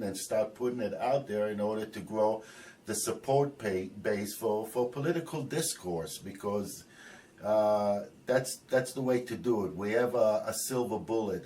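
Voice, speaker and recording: A man from New Jersey answering interview questions, halting with many fillers and restarts. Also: lowish voice, a little mellow, recorded from a distance. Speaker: interviewee, biker group co-founder.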